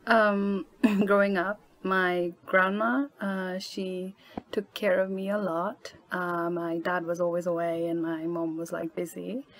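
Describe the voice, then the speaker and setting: A woman's voice: lowish voice, flat delivery, takes it slowly. A woman in her twenties from Nepal, talking casually as a podcast guest with many fillers and frequent laughs. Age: 20s. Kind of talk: casual interview chat.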